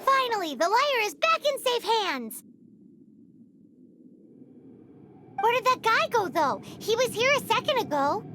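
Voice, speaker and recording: A woman voicing a tiny, cheeky, excitable fairy companion with a squeaky childlike voice in a video game. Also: normal speed. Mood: puzzled relief. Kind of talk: scripted video game voice acting. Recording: loud background game noise.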